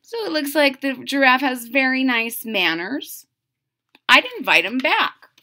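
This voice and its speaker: Middle-aged woman, children's read-aloud, unhurried and very animated. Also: sits high. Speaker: storyteller reading a picture book aloud. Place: small room at home.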